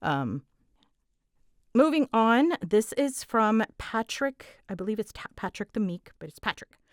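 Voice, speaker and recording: A woman's voice: fairly high pitch, very animated, no room sound, clean studio sound. A woman creator answering audience questions in a casual, rambling chat, candid and often stopping to rephrase herself. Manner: halting, self-interrupting, candid.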